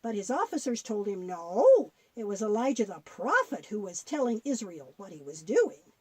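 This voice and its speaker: A woman's voice: mid-range voice, sing-song, moderate pace, breathy edge, dry recording. A woman playing a kindly, folksy grandmother who patiently tells Bible stories in a children's audio drama. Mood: animated storytelling.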